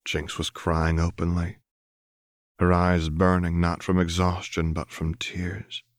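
A man reading fiction aloud as an audiobook narrator, in a measured, subdued way into a close mic. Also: deep voice, monotone, no echo.

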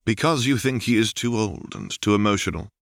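A man reading the part of a world-weary, wry and resolute Jedi in his thirties for a storybook, in a measured, dry manner. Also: on the low side, gravelly, no echo, pitched above the performer's own voice. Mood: angry, tired.

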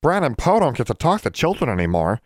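A man voicing a small, eager, pleading male elf with a croaky voice and childlike grammar, in a storybook narration. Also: medium pitch, sing-song, moderate pace, no room sound, studio quality, voice pushed up. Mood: sad. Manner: childlike broken grammar, wailing when upset. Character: small male library elf, eager, pleading, book-loving, easily anguished.

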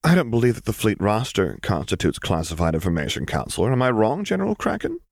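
A man's voice: on the low side, sing-song, quick pace, no room sound, clean studio sound. A man voicing a poised, politely steely princess and stateswoman with a composed, diplomatic manner, in an audiobook. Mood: amused. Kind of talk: audiobook character voice.

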